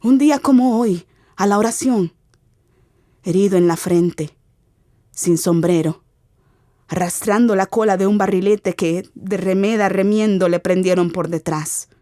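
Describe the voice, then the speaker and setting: A woman giving an expressive, theatrical narration of a literary radio drama as its narrator. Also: mid-range voice, measured pace, no echo, clean studio sound. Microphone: close studio mic.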